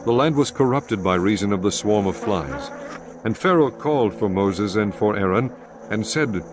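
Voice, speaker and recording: A man narrating scripture in a solemn, measured, scripted style. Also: on the low side, lively intonation, background noise. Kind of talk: scripted dramatised Bible narration. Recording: music behind narration.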